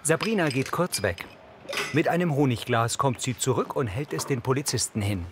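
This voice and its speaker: A man's voice: mid-range voice, expressive, moderate pace, background noise. A man reading scripted narration that describes what happens on screen. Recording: music under the narration.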